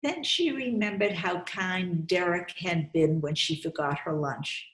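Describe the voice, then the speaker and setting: A woman reading a storybook aloud to young children, expressive and coaxing, stopping to put questions to her listeners. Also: lowish voice, measured pace. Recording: roomy, echoey sound.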